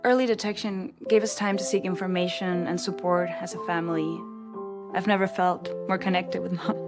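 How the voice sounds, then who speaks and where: A woman gives a testimonial for a public service spot. Her voice sits a little low, with piano music clearly behind her. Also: steady tone, normal speed.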